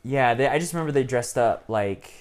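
A man's voice: mid-range voice, brisk. A man in casual chat, retelling cartoon plots at length with many ums and restarts.

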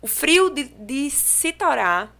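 Young brazilian woman on a podcast, bright higher voice, talks fast and animated, tells her story. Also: creaky. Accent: Brazilian Portuguese, from João Pessoa. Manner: lively, animated storytelling with restarts and laughs. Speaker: podcast guest. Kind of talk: casual podcast chat.

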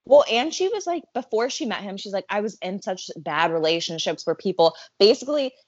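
A woman in casual chat, talking fast and loose with lots of filler words. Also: mid-range voice, expressive, breathy edge, no echo, muffled recording. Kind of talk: casual chat about a reality show.

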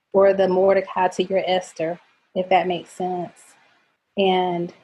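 A middle-aged woman, a coach and speaker, talking earnestly as a podcast guest about faith and boundaries, goofy and quick to laugh. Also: fairly low pitch, darkish tone, breathy edge, low-quality recording. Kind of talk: faith-based personal talk.

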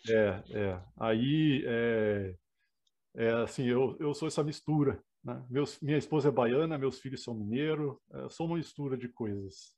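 A man's voice: medium pitch, moderate pace. Friendly man with faint gaúcho accent. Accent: faint gaúcho Brazilian Portuguese. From Rio Grande do Sul, Brazil. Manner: friendly, grateful, relaxed. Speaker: guest, researcher. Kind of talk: casual interview chat.

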